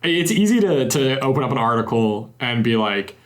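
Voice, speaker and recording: A man's voice: mid-range voice, sing-song, normal speed. A young man hosting a casual games podcast, rambling in a friendly way with self-deprecating asides. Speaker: podcast host.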